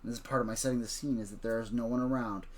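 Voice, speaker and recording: A woman's voice: extremely low pitch, fairly even intonation, rapid-fire. A woman hosting a casual streamed storytelling session, setting the scene and questioning a child about his ideas. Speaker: host.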